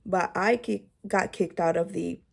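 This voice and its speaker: A woman's voice: lowish voice, a little slow, gravelly. A woman YouTube creator talking casually to camera, rambling with lots of fillers and restarts. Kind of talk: casual vlog talk to camera.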